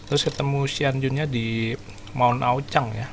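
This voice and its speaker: Gravelly Indonesian man narrating gameplay. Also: medium pitch, fairly even intonation, moderate pace, noisy background. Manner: slangy, searching for words aloud. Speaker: gaming streamer. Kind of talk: casual game commentary.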